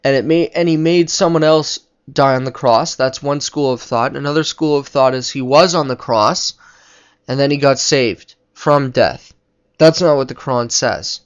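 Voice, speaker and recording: A man's voice: medium pitch, moderate pace, clear, resonant tone. Didactic man in dull lo-fi audio. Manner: didactic, argumentative. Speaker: religious video commentator. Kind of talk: unscripted spoken commentary on religion.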